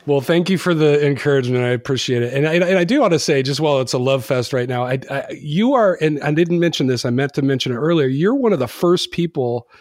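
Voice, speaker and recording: A man's voice: fairly high pitch, animated, quick pace, few pauses, no room sound, clean studio sound. A man hosting a podcast, chatting casually, laughing often and poking fun at himself. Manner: laughs often, self-deprecating. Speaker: podcast host. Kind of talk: casual conversation.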